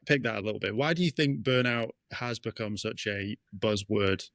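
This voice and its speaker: A man's voice: lowish voice, moderate pace, a bit bright, dry recording, studio quality. A man hosting a podcast, affirming his guest and asking open questions. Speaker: podcast host. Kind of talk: casual podcast interview.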